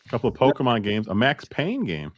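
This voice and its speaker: A man's voice: medium pitch, wildly swooping pitch, fast, no room sound, clean studio sound. A man in his fifties hosting a video game podcast in a casual, chatty way. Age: 50s. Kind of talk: casual chat.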